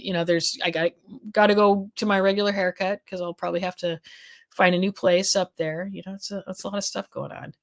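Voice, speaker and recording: A woman with a slightly low voice hosts a casual legal news livestream. She rambles and restarts her sentences while she reads viewer comments. Her voice is getting hoarse as the stream goes on. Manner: rambling, many restarts and fillers, thinking aloud. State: losing her voice. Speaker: legal affairs journalist hosting a livestream.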